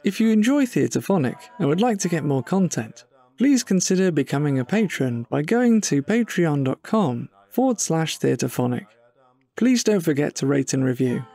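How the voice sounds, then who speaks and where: A man's voice: on the high side, big pitch swings, normal speed, mellow, no echo. A man reading scripted podcast credits as the announcer.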